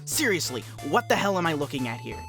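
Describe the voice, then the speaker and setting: A woman's voice: low-pitched, big pitch swings, quick pace, fairly bright tone, faint music behind, exaggerated voice. A woman voicing an irritable, foul-mouthed young male troll in a comic fan dub, abrasive and exasperated. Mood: exasperated ranting.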